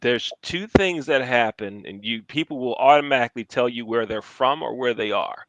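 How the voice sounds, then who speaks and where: Guy hosting an interview, mid pitch, dry sound, chatty, repeats stuff for emphasis. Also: moderate pace, fairly bright tone, no echo, muffled recording. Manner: emphatic repetition, checks in with "right?". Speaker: interview host. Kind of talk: conversational interviewing.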